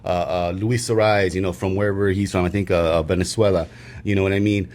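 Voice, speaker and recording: A man co-hosting a casual sports podcast, rambling through opinions with many fillers and restarts, growing emphatic when excited. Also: low voice, quick pace. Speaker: podcast co-host.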